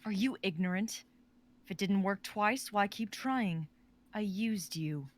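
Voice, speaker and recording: A man's voice: sits high, a little slow, voice pushed up. A man voicing a haughty undead lady of the house who rules the wraiths, scornful and condescending. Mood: mocking. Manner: scornful, looks down on others.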